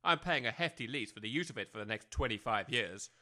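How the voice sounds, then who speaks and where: A man playing a polite, beleaguered but sly gentleman businessman, well-spoken and placating, in a comedy audio drama. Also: mid-range voice, expressive, fast. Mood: courteous.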